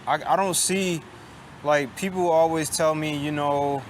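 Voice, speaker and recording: A man in his late twenties talking in a casual confessional monologue, halting and repetitive, restarting often and swearing, with a resigned tone. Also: a little high, fairly even intonation, slight background noise. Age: late 20s. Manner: resigned, downcast tone. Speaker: vlogger. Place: outdoors on a college campus.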